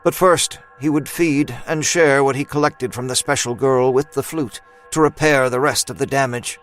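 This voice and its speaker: Give a male narrator reading dramatic fiction. His pitch is average for a man, and his scripted storytelling sits over soft background music. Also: moderate pace.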